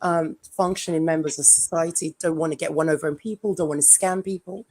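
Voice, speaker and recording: A woman with an English accent talks bluntly and with dark humor, her voice sitting a little low. She is a guest on a casual live stream. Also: steady tone, a little fast. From Jamaica.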